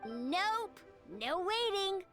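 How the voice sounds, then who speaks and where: A woman's voice: very high-pitched, sing-song, extremely slow, music in the background, exaggerated voice. A woman voicing a cheerful, playful young child in a video game, eager and insistent. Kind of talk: video game character acting.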